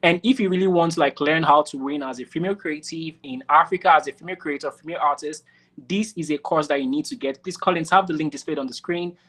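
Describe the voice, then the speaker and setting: Low-voiced woman hosting an interview. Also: fast. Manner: encouraging, many short affirmations. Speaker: interview host. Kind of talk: casual interview.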